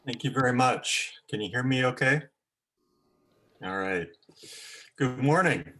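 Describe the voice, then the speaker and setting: Bright-voiced man giving a casual Dharma talk. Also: mid-range voice, a little slow. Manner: chatty, with frequent "uh" fillers and little laughs.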